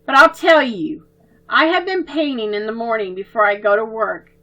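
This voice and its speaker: A woman voicing a stern young man, the twins' older brother, who speaks in firm, measured tones. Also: on the high side, bright, forceful tone, highs cut off. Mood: explaining.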